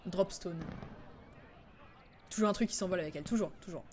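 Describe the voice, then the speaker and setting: A woman's voice: lowish voice, fairly quick, darkish tone, slight background noise. A woman streamer giving casual live commentary on game cutscenes, recapping in a hesitant, rambling, amused way.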